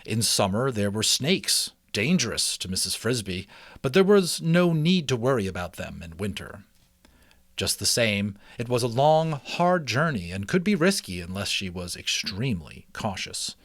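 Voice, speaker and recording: Male storybook narrator, lowish pitch, expressive and deliberate, recorded dry and close. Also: dry recording. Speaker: audiobook narrator. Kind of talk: dramatic children's book reading with chatty asides.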